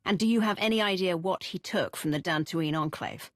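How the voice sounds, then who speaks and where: An actress playing an assertive Imperial commander in a video game, direct, probing and expressive. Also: female voice, lowish voice, a little fast, no room sound, clean studio sound, lightly acted. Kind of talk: dramatic video game voice acting.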